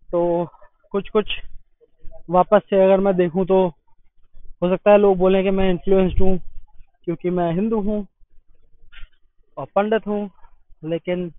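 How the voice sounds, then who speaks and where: A man's voice: high voice, no echo. A young man from India narrating a travel vlog outdoors, chatty and exclaiming as he shows viewers around. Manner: chatty, exclaiming, tour-guide commentary to viewers. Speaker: travel vlogger. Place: outdoors at a temple site. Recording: tinny phone-like sound.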